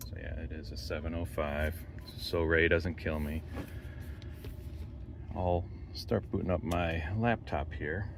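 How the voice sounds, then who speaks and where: A man giving a casual, unscripted walkthrough for a hobby video, thinking aloud in a halting, rambling way. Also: rumbling low. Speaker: amateur radio operator making a vlog. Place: in and around a parked truck. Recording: steady background noise of car and outdoors.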